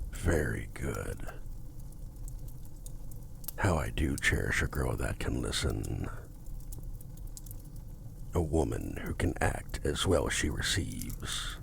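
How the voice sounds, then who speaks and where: Slow talking demon for asmr, super gravelly, swoops around, possessive and commanding, formal and menacing. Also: male voice, slight background noise, exaggerated voice. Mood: condescending, seductive. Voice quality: very deep, gravelly, breathy at times. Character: possessive male demon, courtly, menacing and seductive. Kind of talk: ASMR roleplay acting.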